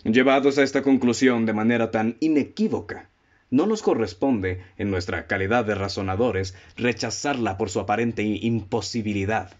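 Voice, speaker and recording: Man playing a self-assured radio-play detective, low voice, Mexican accent, formal theatrical delivery, methodical, muffled recording. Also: moderate pace, natural voice. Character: analytical, self-assured male amateur detective, pompous and methodical. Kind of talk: student radio drama acting. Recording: dull, muffled lo-fi audio.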